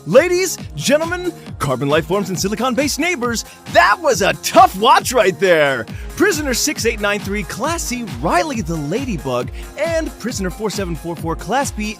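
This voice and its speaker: Flamboyant, gleeful game show host, high theatrical voice, swooping delivery, music bed underneath. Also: male voice, full character voice. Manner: theatrical, gushing, exclamatory. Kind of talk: showy live sports-style commentary.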